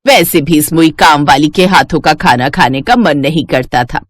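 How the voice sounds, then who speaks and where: Woman voicing a bossy, low-pitched middle-aged mother-in-law. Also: moderate pace, no room sound. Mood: scornful. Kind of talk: Hindi cartoon story dialogue.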